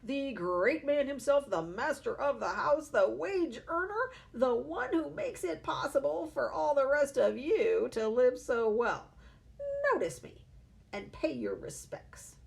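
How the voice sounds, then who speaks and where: A woman's voice: high voice, lively intonation, measured pace, creaky, voice pushed up, full character voice. A woman voicing a boastful, brash middle-aged father who blusters and snaps rudely. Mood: pompous. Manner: loud blustering, snapping.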